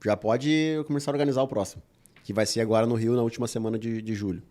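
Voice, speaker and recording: A man's voice: mid-range voice, steady tone, darkish tone. A man hosting a podcast, talking enthusiastically and turning to the listeners. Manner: quick, enthusiastic, addresses listeners. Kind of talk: casual podcast chat.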